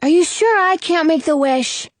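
A woman's voice: extremely high pitch, animated, moderate pace, dry recording, voice pushed up. A woman voicing an eager young boy in a storybook, earnest and childlike. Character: eager young boy, the narrator's little brother.

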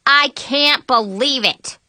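A woman's voice: high-pitched, slow, pushed and bright, no echo, clean studio sound, voice pushed up, exaggerated voice. A woman playing an outspoken, sarcastic teenage girl with pointed exclamations in an audiobook. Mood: incredulous.

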